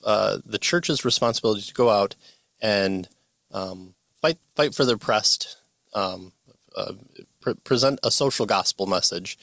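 Deep gravelly man musing over a book. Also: fairly bright tone, no echo. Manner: rambling, many fillers and restarts. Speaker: podcast host. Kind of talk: casual book discussion. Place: home library with bookshelves. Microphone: studio mic on boom arm.

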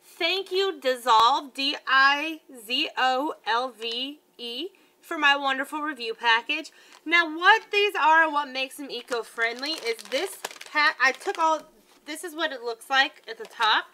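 A woman's voice: high-pitched, lively intonation, measured pace, pushed and bright. A woman in her thirties chatting casually through a product review, tripping over words now and then, recorded at home in a living room on a close webcam. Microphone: webcam at close range.

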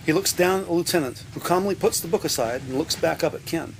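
A male narrator describes the action of an audio drama from a script. His voice sits a little high for a man, with faint noise behind it. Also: fast, low-quality recording.

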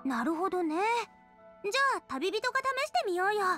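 A woman's voice: very high voice, big pitch swings, a little fast, pushed and bright, soft background music, heavily acted. A woman voicing a cheerful, eager teenage girl chef in an anime game, bubbly yet polite and earnest. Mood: curious. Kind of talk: Japanese anime game voice acting.

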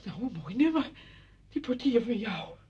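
A woman's voice: fairly high pitch, very animated, normal speed, slightly rough, muffled recording, exaggerated voice. An actress playing a chatty, apologetic married woman visiting a friend in a radio drama, effusive, rambling and flustered. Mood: breathless, gushing.

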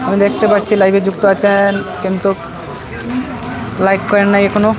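A man hosting a casual live vlog outdoors, repeating his phrases in a halting way as he addresses viewers. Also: sits high, completely flat delivery. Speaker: livestream host. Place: outdoors in a village market. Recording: outdoor street with crowd and traffic noise.

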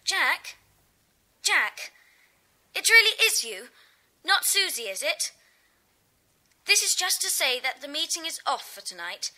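A woman's voice: high voice, big pitch swings, unhurried, pauses often, pushed and bright, full character voice. A woman playing a spirited, outspoken schoolgirl in a children's audio drama, with emphatic delivery. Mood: friendly.

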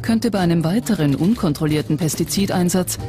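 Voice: mid-range voice, brisk, mellow. A narrator reading a scripted documentary in a composed, prepared delivery. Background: background music.

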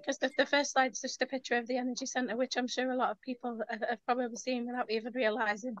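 A young woman, a council energy manager giving a webinar talk over a video call, slightly croaky from a sore throat, chatty and informal with frequent ums. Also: on the high side, no room sound. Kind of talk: webinar presentation. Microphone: headset on a video call. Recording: dull, lo-fi video call audio.

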